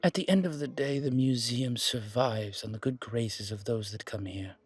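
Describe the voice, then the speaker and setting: A man's voice: medium pitch, moderate pace, breathy edge, no room sound, lightly acted. A man playing a genial, courteous museum curator in a roleplay audio drama, effusive and given to repeating himself. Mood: warm, welcoming. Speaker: voice actor.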